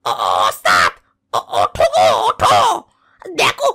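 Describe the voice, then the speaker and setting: A woman voicing an eager, fawning young fox sidekick in a cartoon who stammers and shouts when excited. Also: rumbling low, slow, husky, full character voice. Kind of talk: cartoon voice acting. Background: background music.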